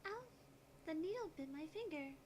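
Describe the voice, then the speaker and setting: A woman voicing a gentle, ditzy sixteen-year-old girl in a visual novel, airy and hesitant. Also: extremely high pitch, lively intonation, normal speed, slight background noise. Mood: plaintive. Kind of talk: visual novel character acting.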